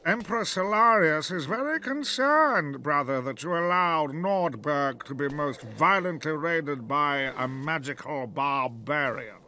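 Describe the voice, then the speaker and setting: A man's voice: a little high, lively intonation, sharp tone. A man voicing a haughty, scolding imperial envoy in a video game, pompous and disdainful. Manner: pompous, deliberate menace.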